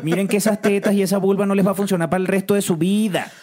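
A man's voice: high voice, fairly even intonation, racing, crowd noise. A Venezuelan man co-hosting a casual, joking vlog chat, rambling playfully and repeating himself for emphasis. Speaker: vlog co-host and actor.

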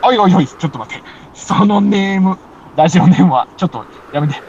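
A man acts out a drowsy podcaster stranded in the snow, whining and pleading dramatically in a Kansai accent. His fairly high voice swings widely in pitch. It is amateur comic radio drama with faint noise behind it. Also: animated, quick pace, gravelly, a little mellow, lo-fi sound. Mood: alarmed. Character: sleepy male podcaster stranded on a snowy mountain, whiny.

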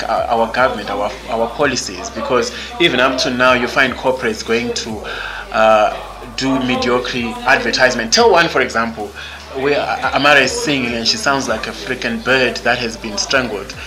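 A man's voice: mid-range voice, poor audio. A man from Zimbabwe with a Zimbabwean English accent, a podcast guest speaking candidly and bluntly, with many fillers and restarts. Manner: blunt, outspoken. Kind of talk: candid interview conversation.

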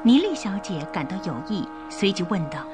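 A woman narrating a scripted radio drama in an expressive, carefully enunciated storytelling style. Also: mid-range voice, moderate pace, music in the background.

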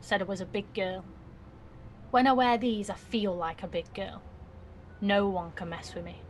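A woman's voice: medium pitch, fairly even intonation, normal speed, slight background noise, natural voice. An actress playing a defiant, cheeky nineteen-year-old working-class woman in a naturalistic audio drama, blunt, teasing and slangy. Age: young adult.

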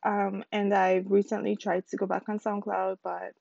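A woman in her twenties from the Virgin Islands, hosting her own podcast in a rambling, chatty way with a slightly squeaky voice. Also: little pitch movement, moderate pace, vocal fry, darkish tone, no echo, lo-fi sound. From United States Virgin Islands. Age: 20s. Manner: rambling, chatty, self-correcting. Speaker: podcast host. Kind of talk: casual solo podcast intro.

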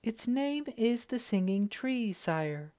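A gracious young princess, formal and courteous in every phrase, as a storyteller puts her on. Her voice sits higher than the narration and moves with lively rises and falls. She speaks slowly and with care.

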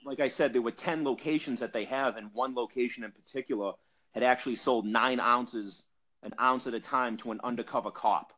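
A man's voice: medium pitch, a little fast, sharp tone. A man from Massachusetts with a Boston accent, telling an industry story in a casual podcast chat with lots of fillers and restarts. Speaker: cannabis industry guest.

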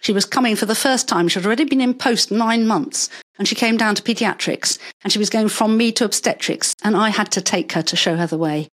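An older woman, a retired paediatrician, talking through her working life in a measured, explanatory way, with stories along the way. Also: medium pitch, a bit bright. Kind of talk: conversational interview.

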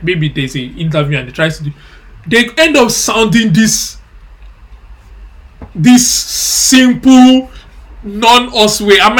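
Bright-voiced Nigerian man chatting. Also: fairly high pitch. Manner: animated, repeats phrases, laughs often. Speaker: podcast co-host. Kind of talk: casual chat.

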